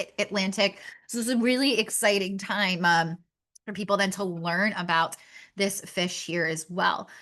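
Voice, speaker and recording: A woman's voice: mid-range voice, sharp tone. A young woman from Pennsylvania presenting shark research in a webinar, earnest and stumbling on word restarts. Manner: earnest, frequent stammered restarts.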